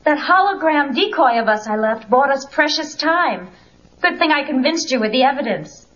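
A woman's voice: sits high, lively intonation, moderate pace, fairly bright tone. A woman playing an earnest, urgent young time-police marshal in a comic-book audio drama, speaking dramatically and emphatically. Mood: confiding. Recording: dull cassette, music behind.

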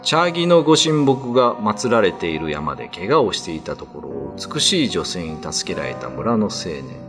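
A man's voice: sits low, big pitch swings, normal speed, over music. A man giving scripted, solemn narration of an old ghost story.

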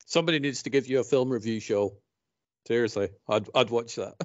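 A man's voice: medium pitch, a little fast, no room sound. A man in his forties hosting a podcast, asking his guest friendly prompting questions over a headset from a home studio. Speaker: podcast host. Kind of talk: casual podcast interview chat. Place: home studio room, indoors. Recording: dry, slightly dull call audio.

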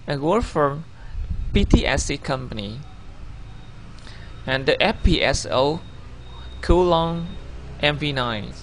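Vietnamese-accented man, halting voice-over. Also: on the high side, fairly bright tone, a little hiss or hum. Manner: halting, self-correcting, reads from slides. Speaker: job applicant. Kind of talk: video CV voice-over over slides.

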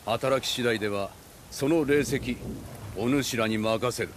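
An actor playing a commanding, ambitious samurai warlord in a dramatic video game, speaking in a stately, archaic, authoritative way. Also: male voice, mid-range voice, measured pace, slight background noise, natural voice. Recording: music behind.

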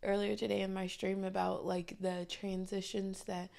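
A woman's voice: mid-range voice, flat delivery, moderate pace, creaky, a little mellow. A woman co-hosting a podcast with a slightly nasal voice, teasing dryly and laughing often. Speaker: podcast co-host. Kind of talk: casual podcast banter.

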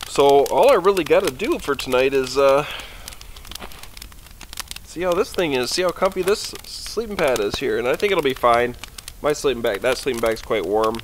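A man's voice: medium pitch, animated, normal speed, slight background noise. Chatty man at a snowy night campfire. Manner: chatty and easygoing, rambling with fillers. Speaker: outdoor vlogger. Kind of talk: casual rambling talk to camera. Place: snowy forest campsite at night, outdoors by a fire. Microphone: camera on a tripod a few meters away.

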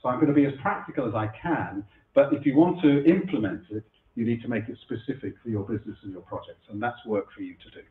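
A middle-aged man with a husky voice from a cold, giving a chatty conference keynote in a lecture room, with many fillers and restarts. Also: medium pitch, fast talker. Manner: chatty, self-deprecating, frequent fillers and restarts. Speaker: keynote speaker. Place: lecture room before a live audience. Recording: echoey room over a phone-like line.

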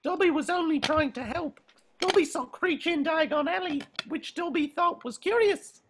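A woman's voice: very high voice, moderate pace. A woman voicing a small, devoted male house-elf who speaks earnestly of himself in the third person. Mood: earnest, eager. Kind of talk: amateur table read of a film screenplay. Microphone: video call. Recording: faint noise on a video call.

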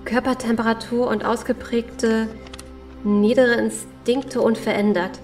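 A woman playing a serious, steady survivor in an audio drama, speaking in a grave and deliberate way. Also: a little high, soft background music, lightly acted. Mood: grave reading. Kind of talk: German audio drama acting.

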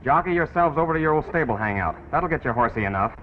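A man's voice: on the high side, lively intonation, fast, close to an everyday voice. A man playing a stern, wry overseer of street boys in an old film drama. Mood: wry, scolding. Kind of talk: 1940s film drama acting. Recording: dull old film soundtrack, faint noise.

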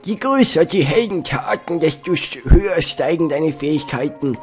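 A man's voice: fairly high pitch, animated, normal speed, voice pushed up, lightly acted. A man voicing a worried older village woman in a role-playing game, reading her lines in a raised voice. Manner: raised voice, reading dialogue boxes aloud. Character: kindly old village mother, worried woman. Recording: faint game music behind.

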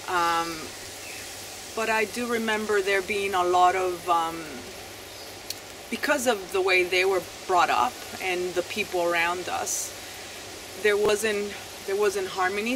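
Soft-spoken woman from Chile, halting. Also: on the low side. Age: 30s. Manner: soft-spoken, halting, many fillers and restarts. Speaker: interviewee sharing her testimony. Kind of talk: personal testimony, unscripted. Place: outdoors in a garden by bamboo. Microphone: camera mic at a few meters, seated. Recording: outdoor noise behind the voice.

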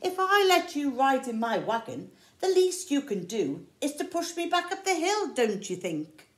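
Woman voicing a bossy, high-pitched storybook bear. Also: big pitch swings, normal speed, reverberant. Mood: bossy, indignant. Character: bossy bullying bear, picture-book animal.

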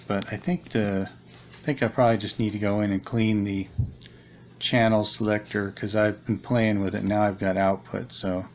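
A man giving a casual, unscripted repair walkthrough, thinking aloud in a hesitant, rambling way, recorded on a handheld camera at a desk indoors. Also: on the low side. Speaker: hobbyist radio repairer presenting a video. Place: small indoor room at a desk. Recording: steady background noise, handheld camera recording.

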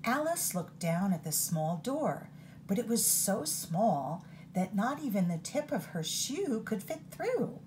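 A woman reading a picture book aloud in an expressive storytime manner, recorded on a phone held over the book. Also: mid-range voice, slow, slight background noise, rough recording.

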